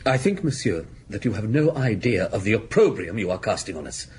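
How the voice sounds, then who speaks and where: Low, prim, reproving priest. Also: male voice, sing-song, fairly quick, a little mellow, slight background noise. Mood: grave, reproving. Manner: formal, stiff. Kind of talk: radio drama acting.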